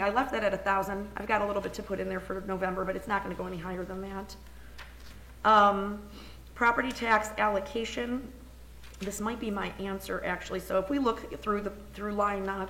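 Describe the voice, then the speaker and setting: Hoarse woman walking through a budget. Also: medium pitch, sharp tone, echoey room, a little hiss or hum, low-quality recording. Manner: chatty and informal, thinking aloud with restarts. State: hoarse, scratchy voice. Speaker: municipal finance officer presenting the budget. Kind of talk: presenting a budget report at a council meeting. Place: council meeting room.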